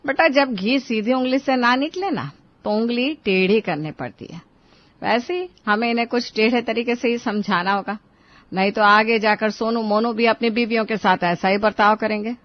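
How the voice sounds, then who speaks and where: Woman as firm, high-voiced family matriarch. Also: normal speed, no room sound, lo-fi sound, lightly acted. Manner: firm, instructive. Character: shrewd, determined mother-in-law, family matriarch.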